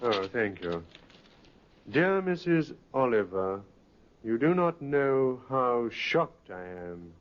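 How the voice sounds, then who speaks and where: An actor playing a courteous, businesslike police inspector in an old radio drama, speaking in a clipped, polite way. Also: male voice, mid-range voice, very animated, slow pace. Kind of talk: 1950s radio drama acting. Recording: muffled old radio recording.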